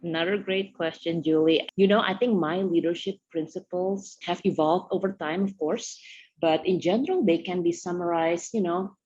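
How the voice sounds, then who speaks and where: A woman's voice: lowish voice, moderate pace, a little mellow, breathy edge, dull audio. A middle-aged woman from Indonesia, a tech leader answering interview questions thoughtfully, with many "you know" fillers.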